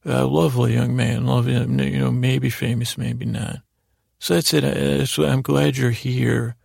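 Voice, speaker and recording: Male bedtime podcast host, heavy vocal fry, flat intonation, halting and whimsical rambler who loses his way between words. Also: medium pitch, dry recording, clean studio sound. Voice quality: gravelly, creaky. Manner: gently silly, whimsical.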